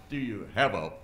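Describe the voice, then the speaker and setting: A man's voice: lowish voice, background noise. A man playing a folksy flirty sheriff. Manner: folksy drawl, teasing. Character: folksy small-town male sheriff, flirtatious.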